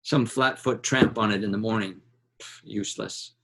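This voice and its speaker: A man reading a literary novel aloud in a measured, dramatic way, with deliberate pauses between short phrases. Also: on the low side, fairly even intonation, gravelly, a bit bright.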